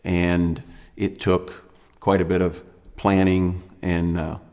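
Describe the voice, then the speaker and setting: A man in his thirties from Pennsylvania, a banjo maker talking in an interview with halting pauses and fillers. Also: sits low, flat delivery, a little mellow, in a large hall. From Lancaster, Pennsylvania. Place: studio with dark backdrop.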